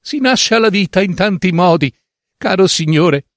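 A man's voice: sits high, animated, normal speed, no echo, clean studio sound, voice pushed up. A man voicing a desperate male character, a doctor, who pleads and then protests indignantly in a dramatic story reading. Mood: insistent.

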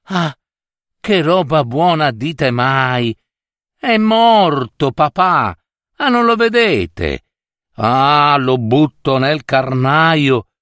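A man's voice: on the high side, lively intonation, very slow, fairly bright tone, dry recording, studio quality, exaggerated voice. A man voicing an ogre's kind, anxious, wily young daughter in a fairy tale, pleading and coaxing.

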